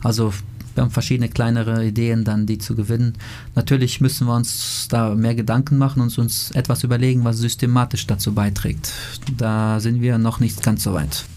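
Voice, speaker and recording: A man speaks in a dark, mellow voice with little rise and fall, almost monotone. He explains patiently and in an orderly way, with small pauses and fillers. His first language is Plautdietsch, and the setting is a radio interview. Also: medium pitch, a little hiss or hum. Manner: measured, explanatory, with frequent fillers. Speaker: interviewee, language association member.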